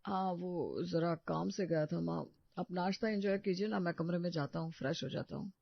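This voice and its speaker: A harsh, accusing grown husband in a cartoon story, always suspicious and quick to scold, put on by a woman narrator. The sound is dull and lo-fi, with a dry, close feel. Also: fairly low pitch, steady tone, gravelly, husky, dry recording. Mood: casual.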